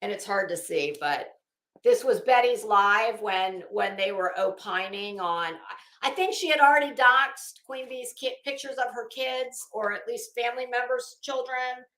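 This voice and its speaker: Woman, highish voice, sarcastic, theatrical reading, snarky ad-libs, salty language, very roomy sound. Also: measured pace, a bit bright. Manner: sarcastic, brash, profane, theatrical. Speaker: YouTube commentary host. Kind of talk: dramatic reading with snarky ad-lib commentary. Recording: echoey room.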